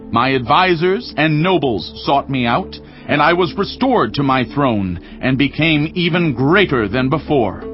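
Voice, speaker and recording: A man playing a proud, majestic Babylonian king, declaiming grandly in a dramatized scripture recording. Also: fairly high pitch. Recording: muffled, with faint music.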